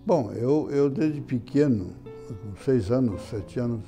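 Older man, dark mellow voice, lively pitch. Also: soft background music. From Brazil. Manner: halting, repeats words, chuckles often. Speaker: interviewee. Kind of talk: reminiscing interview talk. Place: indoor room beside an altar.

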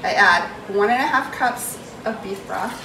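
A woman's voice: mid-range voice, measured pace, noisy background. A woman in her forties with a New England accent, chatting casually and joking about herself as she walks through a chili recipe in her home kitchen. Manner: chatty and self-mocking, talks while stirring and pouring. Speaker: home cook hosting a cooking video. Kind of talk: casual cooking demonstration. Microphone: far camera on a tripod. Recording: roomy kitchen audio from a distant camera. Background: food sizzling in a pan.

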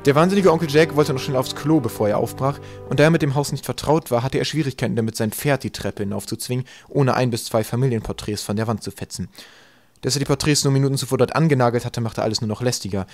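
A man narrating a comic children's story from a script, with dry, deadpan storytelling. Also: medium pitch, fast, faint music behind. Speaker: audiobook narrator.

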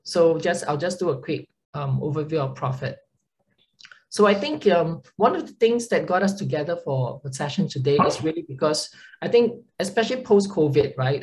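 A woman's voice: sits low, a little mellow, rough recording. A woman with a Singaporean accent, a consulting partner presenting on a video call webinar, chatty with frequent filler words and tag questions. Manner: conversational, frequent "right?" tags and um fillers.

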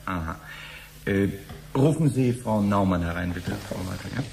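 An actor playing a polite, probing official in a 1970s radio play, courteous and businesslike. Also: male voice, low voice, lively intonation, normal speed, vocal fry, background noise. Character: polite, probing male official taking a statement.

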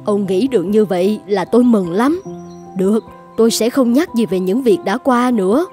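A woman voicing a long-suffering older wife in an animated folk tale, measured and plain-spoken. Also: mid-range voice, soft background music, lightly acted. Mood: relieved, forgiving.

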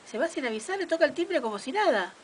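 A woman's voice: high-pitched, very animated, fast, slight background noise. A woman with an Argentine accent hosting a radio interview, chatty and often repeating words as she talks. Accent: Argentine Spanish. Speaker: radio host. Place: small radio studio.

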